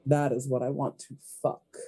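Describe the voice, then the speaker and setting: Very mellow-voiced woman playing a tabletop game. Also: rumbling low, level tone, moderate pace, slightly rough. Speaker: player in a tabletop roleplaying game. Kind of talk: improvised in-character narration and casual table talk.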